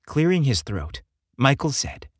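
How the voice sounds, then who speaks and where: Expressive male narrator, dry sound. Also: medium pitch, a little slow, slightly rough, no room sound. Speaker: audiobook narrator. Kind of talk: scripted story narration.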